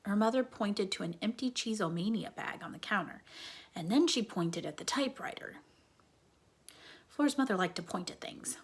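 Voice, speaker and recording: A woman reading a children's book aloud as a dramatic storyteller, often dropping into a breathy hush. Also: mid-range voice, animated, normal speed. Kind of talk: expressive children's book narration.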